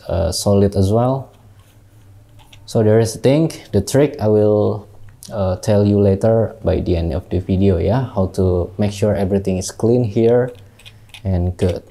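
A young Indonesian man with an Indonesian accent, a tattoo artist, unboxing gear in halting English, recorded on a lapel mic in a small studio. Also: lowish voice, dark tone. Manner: unhurried, halting non-native English with many fillers. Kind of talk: casual product unboxing.

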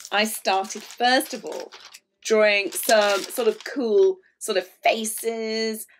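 A middle-aged woman leading a children's drawing lesson in a chatty, encouraging, animated way. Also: medium pitch, takes it slowly, slight background noise, low-quality recording. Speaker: illustrator leading a children's draw-along. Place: small indoor room at a whiteboard.

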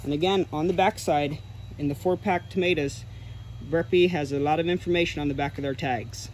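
Higher-voiced young man guiding a tour. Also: steady tone, fairly quick, low-quality recording. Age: young adult. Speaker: greenhouse tour guide. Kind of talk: informal explanatory tour talk. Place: large greenhouses and garden center yard. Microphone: camera or phone a few steps away. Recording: clear background noise, handheld camera video.

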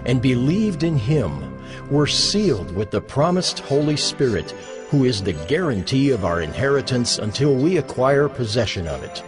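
A man reading scripture as a dramatized narration, solemn and measured, with emphatic phrasing. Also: medium pitch. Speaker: Bible narrator. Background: music under the voice.

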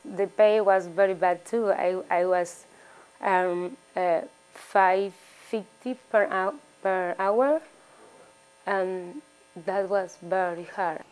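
Woman, 40s, halting answers, lots of restarts, indoor interview. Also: medium pitch, a little mellow, clean tone. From Dominican Republic. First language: Spanish. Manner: halting, with restarts and repeated words.

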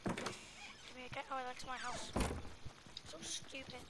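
Very high female gamer voice, noisy recording. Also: wildly swooping pitch, takes it slowly. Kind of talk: casual role-play while playing a video game. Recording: very noisy home game recording with clicks.

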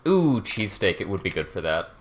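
Man chatting casually, animated pitch swings. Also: brisk. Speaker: co-op speedrunner. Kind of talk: casual chat while gaming.

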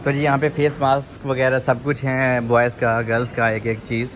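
A man's voice: medium pitch, monotone, normal speed. Man, thirties, casual vlog, phone camera, busy supermarket noise behind. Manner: chatty, addresses viewers as "janab", says "theek hai" often. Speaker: vlogger. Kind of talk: casual walk-through vlog. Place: large supermarket in a shopping mall, indoors. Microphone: handheld phone camera. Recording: noisy store background.

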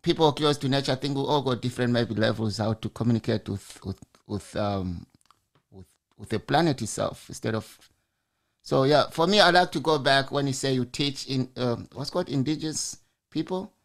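A man's voice: medium pitch, a little fast, gravelly. Rambling male podcast host. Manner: rambling questions, frequent restarts. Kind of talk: casual interview. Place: home room, video call. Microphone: large studio mic on arm.

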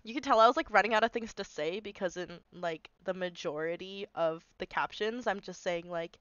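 A woman's voice: medium pitch, a little fast, fairly bright tone, clean tone, no room sound, muffled recording. A young woman sounding nasal from a cold, casually rambling through a walkthrough of her animation with lots of fillers. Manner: rambling, filler-heavy, self-deprecating. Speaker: animator explaining her video. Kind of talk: casual screen-recorded walkthrough.

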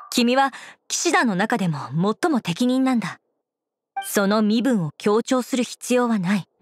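An actress playing an earnest, composed young woman knight commander in an anime game, speaking in a formal, measured, dutiful way. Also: medium pitch, natural voice. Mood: encouraging.

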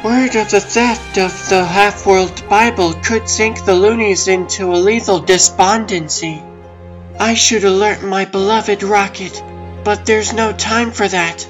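A woman playing a concerned, resolute female otter in a comic dub, speaking earnestly and deliberately. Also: mid-range voice, fairly even intonation, faint music behind, close to an everyday voice, low-quality recording.

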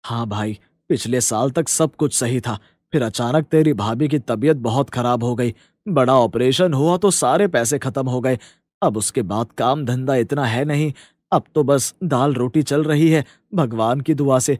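A man's voice: mid-range voice, measured pace, darkish tone, dry recording, natural voice. A man plays a poor village father in a cartoon story. He is humble and troubled, speaking with weary apology. The sound is dry, with no room around it. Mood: weary, resigned.

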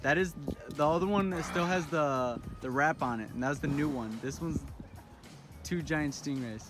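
A man's voice: on the high side, normal speed, over music. A young man filming a friend fishing from a pier at night, laughing and cheering him on in casual banter. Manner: excited, laughs a lot, cheers on his friend.

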